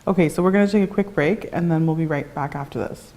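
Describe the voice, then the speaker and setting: A woman with a very low-pitched voice hosts a talk show interview. She rambles loosely, repeating phrases and leaning on fillers as she sets up questions for her guests. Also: quick pace, over loud music. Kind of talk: casual interview hosting.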